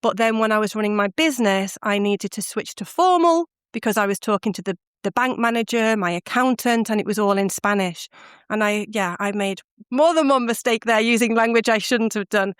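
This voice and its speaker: A woman's voice: mid-range voice, a bit bright, smooth, dry recording, studio quality. A middle-aged woman from the UK, a guest on a podcast, telling her stories animatedly and laughing often. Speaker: guest, language course founder. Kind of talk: podcast interview answers.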